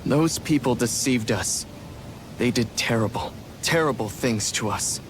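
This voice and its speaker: A man's voice: mid-range voice, a little slow, over music, natural voice. Man acting a meek, hesitant freed slave. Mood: uneasy, wounded. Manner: hesitant, flat literal answers. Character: meek, literal-minded freed male slave, slow to respond. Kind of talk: video game cutscene acting.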